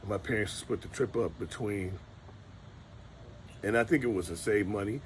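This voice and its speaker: A middle-aged man speaks in a very low, deep register. He talks in a relaxed, rambling vlog style, stopping to restart his sentences as he remembers. A faint ambient hum sits behind him. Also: moderate pace. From Detroit, USA. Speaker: vlogger. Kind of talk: casual storytelling monologue.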